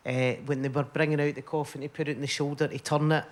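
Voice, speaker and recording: A woman's voice: low-pitched, little pitch movement, quick pace. Chatty woman comedian telling a story. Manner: chatty anecdote teller with filler "eh"s. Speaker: comedian panellist. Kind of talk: comic panel storytelling.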